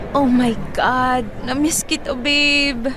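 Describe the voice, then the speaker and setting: An actress playing a devoted, generous young girlfriend in a Filipino radio drama, sweet, upbeat and expressive. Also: high voice, slow pace, background noise. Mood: joyful, affectionate.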